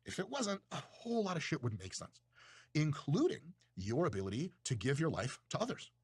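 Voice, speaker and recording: A man's voice: on the high side, huge pitch swings, fairly quick, breathy edge, no echo. A man from Russia, giving a casual, rambling talk on philosophy in a profane, self-mocking way. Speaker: video creator. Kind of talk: casual monologue lecture.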